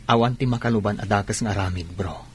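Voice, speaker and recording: Lowish male voice, concerned friend acted, conversational, questioning, radio drama, faint noise. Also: quick pace, lightly acted. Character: concerned, probing male friend giving advice.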